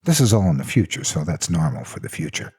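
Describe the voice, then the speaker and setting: A man tells a scripted story with theatrical, mock-serious delivery. His voice sits fairly low with a husky, rough grain, and it rises and falls in big animated sweeps. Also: fast talker. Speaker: narrator of horror stories.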